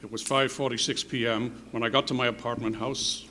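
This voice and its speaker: An actor in a radio drama re-enactment playing a terse, steady police detective with a clipped, matter-of-fact delivery. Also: male voice, mid-range voice, brisk, noisy background, lightly acted. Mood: dry, matter-of-fact. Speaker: actor in a staged radio tribute.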